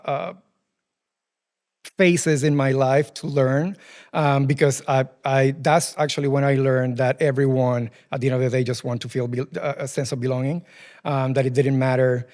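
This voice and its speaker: Puerto rican guy, spanish first language, monotone, lots of ums while he thinks. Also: mid-range voice, moderate pace. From Puerto Rico. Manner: thoughtful, many ums and restarts. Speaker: guest, furniture dealership president. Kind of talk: podcast interview answers.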